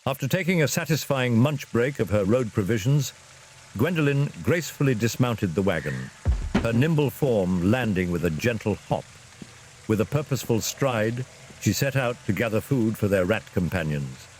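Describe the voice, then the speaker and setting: A man's voice: mid-range voice, animated, moderate pace, darkish tone, slight background noise, low-quality recording. A man narrating a scripted fantasy story in a dramatic storytelling style.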